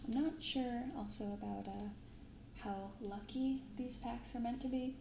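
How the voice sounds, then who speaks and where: A woman speaking softly in an ASMR style, giving gentle, unhurried casual commentary as host of a card-opening video. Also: fairly high pitch, fairly even intonation, gravelly, reverberant, noisy background. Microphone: binaural microphone.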